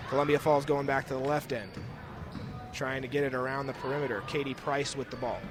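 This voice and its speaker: A young man giving live play-by-play commentary of a basketball game as the announcer. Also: mid-range voice. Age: young adult. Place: indoor school gymnasium during a game. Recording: noisy gym ambience, bouncing basketballs. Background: game noise, basketball bounces.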